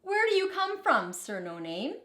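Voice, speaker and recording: A woman's voice: sits high, huge pitch swings, measured pace, sharp tone, reverberant, pitched above the performer's own voice, full character voice. A woman voicing a commanding, pompous king and father in an emphatic storybook read-aloud. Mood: grandly admiring. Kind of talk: picture book read-aloud character voice.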